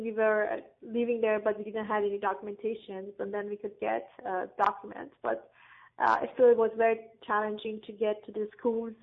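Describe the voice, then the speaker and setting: A woman from Afghanistan speaks in a flat, even voice, pausing often and searching for her words in English. Her voice comes through a thin, phone-like line. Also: mid-range voice, vocal fry. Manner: halting, searching for words, frequent fillers. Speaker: guest. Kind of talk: interview answers.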